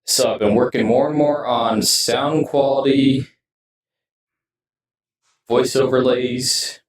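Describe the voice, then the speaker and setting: A man giving casual, rambling streamer talk, halting and often trailing off mid-sentence. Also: mid-range voice, fairly even intonation. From United States. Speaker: video game streamer. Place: indoors in his streaming room setup. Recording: roomy echo, distant mic, music behind.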